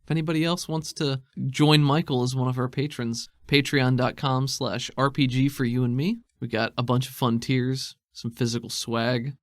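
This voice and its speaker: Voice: medium pitch, steady tone, moderate pace, no room sound. Dry-miked game master, casual and conversational. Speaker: game master, podcast host. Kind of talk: improvised tabletop narration and casual chat.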